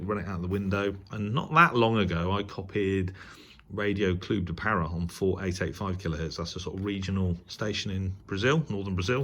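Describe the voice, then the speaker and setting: A British man giving a casual, unscripted radio review as a hobbyist vlogger, rambling along in a hesitant, halting way. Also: lowish voice. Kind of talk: casual unboxing and review talk.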